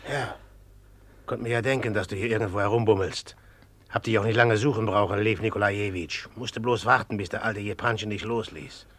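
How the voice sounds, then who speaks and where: A man's voice: on the low side, fairly even intonation, a little fast, fairly bright tone, no echo. A man acting a brooding, jealous rival in a German radio play, blunt, sardonic and needling with resentment. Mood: furtive, gruff.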